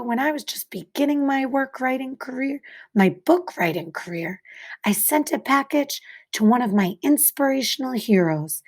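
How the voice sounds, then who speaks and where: A woman reading a children's picture book aloud like a teacher, with deliberate, expressive phrasing and dramatic pauses. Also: fairly high pitch.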